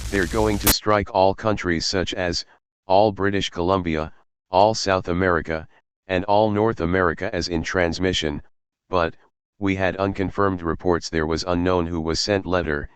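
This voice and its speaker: Very deep, smooth male alert announcer. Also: steady tone, normal speed, no room sound. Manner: formal announcing. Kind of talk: scripted mock emergency broadcast.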